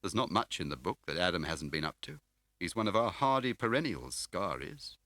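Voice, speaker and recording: A man's voice: low voice, sing-song, brisk, sharp tone, no room sound, voice pushed up, heavily acted. A man voicing a chatty, matter-of-fact male police constable with a colloquial, knowing manner in an audiobook. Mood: helpful, knowing. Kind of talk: audiobook character voice.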